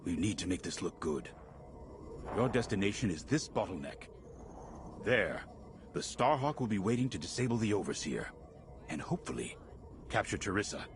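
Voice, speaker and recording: An actor playing an earnest military commander in a space video game, measured and authoritative as if briefing pilots. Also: male voice, lowish voice, sing-song, background noise. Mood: grave, resolute.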